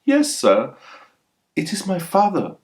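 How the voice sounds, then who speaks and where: A man's voice: sits high, wildly swooping pitch, takes it slowly, a little mellow, pitched above the performer's own voice, exaggerated voice. A man voicing a polite, hopeful young village girl in a storybook reading. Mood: polite, eager.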